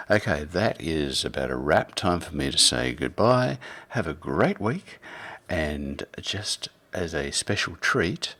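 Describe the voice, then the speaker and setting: A man's voice: very deep voice, a bit bright, no room sound. A man working as a radio host and narrator, presenting scripted material in a theatrical storytelling style. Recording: music behind the voice. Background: music.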